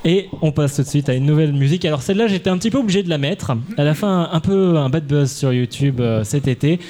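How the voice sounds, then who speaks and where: A man's voice: on the high side, fast talker, mellow. A man hosting a music quiz on a casual podcast, playful and laughing often. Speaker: quiz host.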